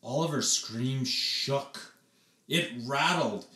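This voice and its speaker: A man reading a children's story aloud expressively, with theatrical emphasis and dramatic pauses. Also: medium pitch, bright, forceful tone, echoey room. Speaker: read-aloud storyteller, teacher.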